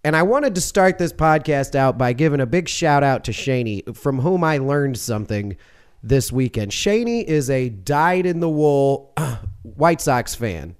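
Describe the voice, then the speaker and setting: Man, podcast host, solo sports chat, mid-pitched, clear resonant voice, rambling, jokey, self-correcting. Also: lively intonation, a little slow. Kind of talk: casual sports podcast monologue.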